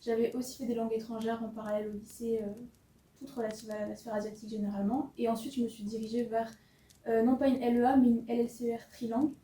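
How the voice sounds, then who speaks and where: A woman's voice: on the high side, level tone, quick pace, dark tone, slight background noise. A woman in her early twenties from southern France, a master's student, explaining her studies in casual chat. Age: 20s. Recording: echoey room, mic far off.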